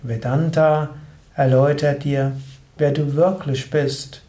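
A man giving a slow, measured spoken lesson on yoga philosophy, explaining with thoughtful pauses. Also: fairly high pitch, fairly even intonation, dark tone. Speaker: yoga teacher. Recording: roomy echo.

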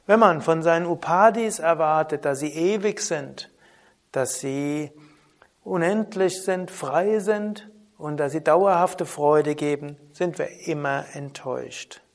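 A man teaching a spoken lesson on yoga philosophy in a calm, measured way, often asking a soft "hm?". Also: on the high side. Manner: calm, measured, with frequent soft trailing drops and questioning "hm?". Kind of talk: spoken lesson on Vedanta terms.

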